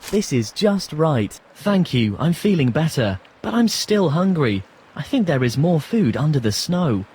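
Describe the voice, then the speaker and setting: A man voicing a young, polite, sad duckling in a children's storybook, with earnest, simple, expressive delivery. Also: fairly high pitch, unhurried, a little hiss or hum. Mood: hungry, relieved. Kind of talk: children's storybook app voice acting.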